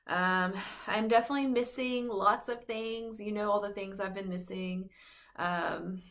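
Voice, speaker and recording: A woman's voice: medium pitch, steady tone, unhurried, fairly bright tone. Chatty pregnant young woman vlogger. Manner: chatty, rambling, many fillers and "like". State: heavily pregnant, short of breath. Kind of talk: casual pregnancy update vlog.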